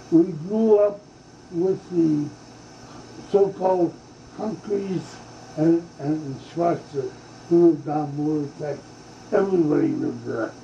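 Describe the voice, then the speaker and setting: An older man from Hungary recounts his childhood in a slow, halting way, stumbling over fillers and restarts. His voice is very dark and mellow, caught on a dull, roomy old tape. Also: on the high side, creaky, slight background noise. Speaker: oral history interviewee. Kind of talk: slow reminiscing oral history.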